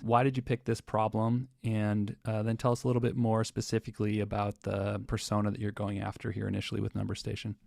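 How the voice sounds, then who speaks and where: A man's voice: on the low side, vocal fry, a little mellow, dry recording, studio quality. A man hosting a conversational business interview, talking as an investor with his guests.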